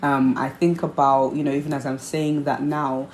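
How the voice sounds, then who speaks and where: Low-voiced woman, hybrid Nigerian accent. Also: flat delivery, normal speed, a little mellow, a little hiss or hum. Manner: earnest, explains by repeating points. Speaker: vlogger host. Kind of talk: casual chat to camera. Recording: echoey room with faint ambience.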